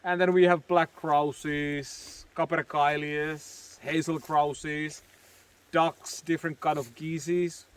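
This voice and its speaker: A man's voice: a little high, little pitch movement, slow pace, clean tone. A man with a Finnish accent, a bushcraft guide who owns his own company, explaining the local area in a plain, matter-of-fact way and listing things off one by one. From Finland. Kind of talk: casual guiding explanation. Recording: tinny lo-fi audio with road noise. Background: vehicle engine and road noise.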